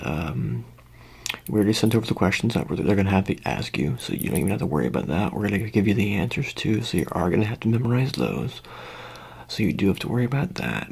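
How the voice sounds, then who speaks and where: A man doing an improvised ASMR roleplay in whispers and soft speech, rambling and hesitant with a deadpan delivery, close to the microphone. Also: lowish voice, creaky, breathy edge.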